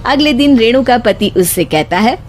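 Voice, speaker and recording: A woman's voice: fairly high pitch, lively intonation, moderate pace, a little hiss or hum. A woman narrating a scripted moral story as a storyteller. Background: background music.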